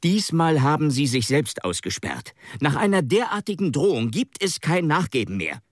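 An actor playing a forceful, impetuous young businessman nephew in a German radio drama, with an emphatic delivery. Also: a little high, big pitch swings, normal speed, no room sound, studio quality. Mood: outraged, urgent.

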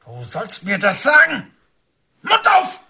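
Stern policeman father, radio drama, very raspy, hard forceful edge, commanding. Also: extremely high pitch, wildly swooping pitch, slow, full character voice. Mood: furious barking. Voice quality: husky, rasping. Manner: commanding, interrogating. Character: stern, domineering father, a village policeman, pressuring his son. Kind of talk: German radio drama acting.

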